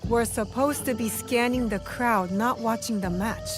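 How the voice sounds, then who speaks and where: A woman's voice: on the high side, measured pace, over music, lightly acted. An actress playing a small, fearless woman fighter in a video game, terse and matter-of-fact. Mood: impatient, dry. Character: small, fearless female fighter, curt and focused. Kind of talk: video game cutscene acting.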